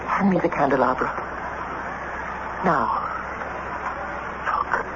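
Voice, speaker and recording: An actress playing a poised, sharp-witted statesman's wife in a period radio drama, genteel and theatrical. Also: sits low, slow pace. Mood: assured. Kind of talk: old-time radio drama acting. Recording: muffled, very noisy vintage radio recording.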